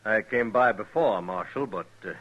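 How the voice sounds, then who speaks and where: An actor playing a genial, smooth-talking frontier doctor with courtly, ingratiating formality in a 1950s radio western. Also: male voice, fairly low pitch, normal speed, muffled recording, lightly acted.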